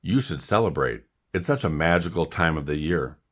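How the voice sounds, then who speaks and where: A man's voice: rumbling low, lively intonation, moderate pace. A man voicing a cheery, pushy, festive marabou stork in a children's storybook. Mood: encouraging.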